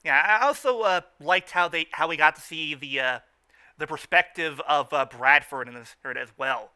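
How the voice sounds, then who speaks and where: A man's voice: fairly high pitch, moderate pace, sharp tone, smooth. A man in a casual fan discussion as a podcast co-host, often stammering and repeating words and phrases as he goes. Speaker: podcast co-host reviewing a cartoon.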